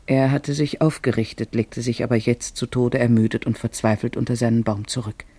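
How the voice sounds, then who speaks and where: A man's voice: mid-range voice, level tone, brisk, dark tone, no echo. A man narrating a literary radio drama in German, reading scripted storytelling prose.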